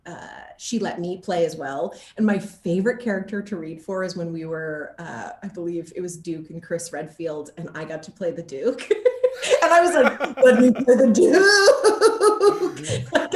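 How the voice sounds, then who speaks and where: Female interviewee, lowish voice, roomy echo. Casual, expressive actor recounting stories with lively quoted lines. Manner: animated, expressive storytelling, quoting others as she goes. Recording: echoey room.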